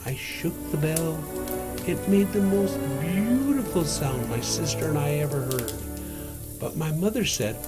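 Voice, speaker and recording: Guy doing a santa storytime for kids, really swoopy pitch, music behind him. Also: animated, a little slow. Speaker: Santa performer reading a picture book aloud. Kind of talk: animated children's story read-aloud.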